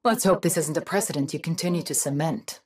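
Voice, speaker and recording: Haughty, lowish-voiced scientist. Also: female voice, slightly rough. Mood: cold disdain. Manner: condescending, measured, precise. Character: haughty, disdainful female scientist, coldly superior. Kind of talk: video game voice acting.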